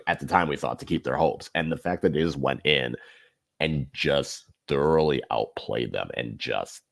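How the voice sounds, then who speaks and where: A man with a very low, deep voice chats casually about sports on a podcast. He explains things in long, loosely built runs, and the sound is close and dry with little room echo. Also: moderate pace, gravelly. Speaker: podcast co-host discussing football.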